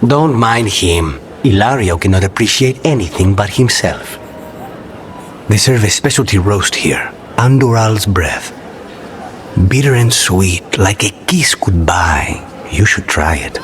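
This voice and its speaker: A man's voice: fairly low pitch, animated, unhurried, a little hiss or hum. A man voicing a brooding, courteous assassin with a dry, guarded manner in a video game cutscene. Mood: dry, composed.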